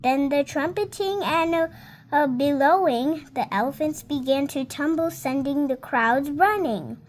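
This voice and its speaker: A girl of about seven reading a storybook aloud in a careful, halting, word-by-word way. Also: sits high. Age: child, about seven. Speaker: young reader.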